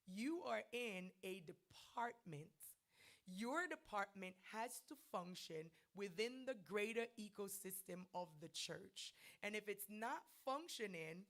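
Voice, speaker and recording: American woman on a church mic, punchy teaching voice, keeps saying right?, mid pitch. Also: animated, slow, a bit bright. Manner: emphatic, conversational, says "right?" often. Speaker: guest speaker at a ministers' training.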